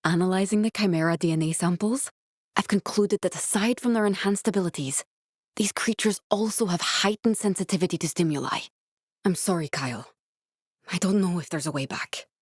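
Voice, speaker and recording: A woman voicing an earnest, guarded young female scientist survivor in a video game, speaking in a measured, serious way. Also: fairly low pitch, dry recording, studio quality, natural voice. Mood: subdued, regretful. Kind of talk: dramatic video game dialogue.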